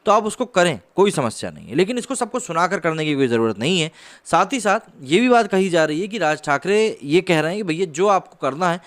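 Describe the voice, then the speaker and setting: Guy doing news commentary online, slightly high voice, explains stuff with chatty asides. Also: fairly bright tone. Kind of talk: news commentary, partly read from reports.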